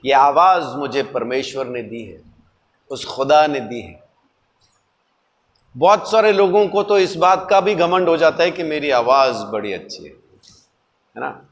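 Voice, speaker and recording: A male preacher with a notably high voice, recorded with long hall reverb. He delivers a sermon emphatically, posing questions and repeating key words as he teaches. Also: lively intonation, measured pace, pauses often. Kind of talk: sermon with rhetorical questions and everyday anecdotes.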